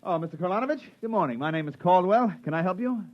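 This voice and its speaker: A man's voice: sits high, expressive, quick pace, noisy background. An actor playing a wisecracking, put-upon repairman in 1950s radio drama, with a wry, colloquial delivery. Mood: salesmanlike. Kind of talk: 1950s radio drama acting, first-person narration and dialogue. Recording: dull vintage radio recording.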